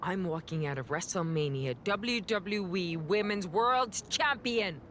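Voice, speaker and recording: A woman's voice: on the low side, expressive, unhurried, background noise. A woman performing a confident, boastful wrestling champion giving an interview promo in a video game. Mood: defiant.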